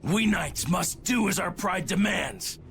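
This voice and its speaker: An actor playing a proud, scornful rival knight in a video game cutscene. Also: male voice, sits high, lively intonation, unhurried, a bit bright, slight background noise, heavily acted. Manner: scornful, gravelly.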